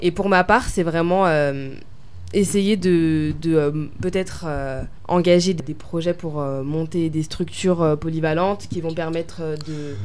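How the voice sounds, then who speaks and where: A woman's voice: deep voice, steady tone, measured pace, darkish tone, clear, resonant tone. A young woman from France answering interview questions as a pageant winner, hesitant and full of fillers and restarts. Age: young adult.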